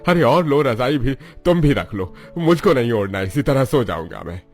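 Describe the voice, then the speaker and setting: A man acts out a grumpy, sleepy old husband in a cartoon story. The complaints come out in a lively, swinging melody. Faint music plays behind the voice. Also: mid-range voice, normal speed. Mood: irritated, sleepy. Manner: irritable, complaining. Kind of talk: Hindi cartoon story dialogue.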